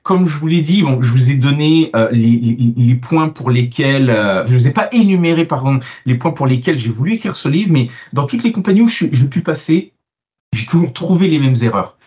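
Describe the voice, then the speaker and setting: A man born in France and now living in Canada, a software architect being interviewed about his book, talking casually with many fillers and restarts. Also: mid-range voice, expressive, normal speed. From Italian, born in France, living in Canada. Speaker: guest author, software architect. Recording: dull lo-fi sound.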